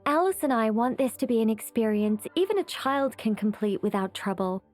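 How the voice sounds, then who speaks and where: A woman's voice: a little high, lively intonation, moderate pace, darkish tone, soft background music, close to an everyday voice. A woman voicing a composed, businesslike young woman organizer in an anime game, explaining with self-assurance.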